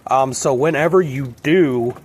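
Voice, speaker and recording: A man with a hoarse voice from a cold, chatting in an easygoing, rambling way in a casual plant vlog. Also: mid-range voice, measured pace, a little mellow. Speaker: plant vlogger.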